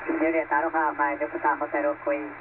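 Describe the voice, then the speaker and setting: Male ham operator, polite contest procedure, slightly high voice, distant and buried in radio noise. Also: little pitch movement, very fast. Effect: shortwave radio transmission. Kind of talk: ham radio contest exchange with phonetic call signs. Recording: noisy, narrow shortwave radio reception, distant.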